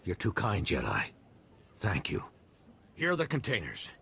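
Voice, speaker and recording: An actor playing an earnest, worried desert moisture farmer in a video game. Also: male voice, low-pitched, wildly swooping pitch, normal speed, vocal fry, sharp tone, slight background noise, exaggerated voice. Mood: earnest pleading. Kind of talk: video game dialogue acting.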